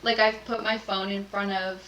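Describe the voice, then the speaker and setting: A young woman chats through a long story, packing her sentences with "like" and breaking into laughter. Her voice sits at an ordinary pitch. A faint hiss of noise runs under her. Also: level tone, moderate pace, fairly bright tone, low-quality recording. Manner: chatty, filler-heavy, laughing storyteller. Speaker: podcast guest. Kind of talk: casual storytelling chat.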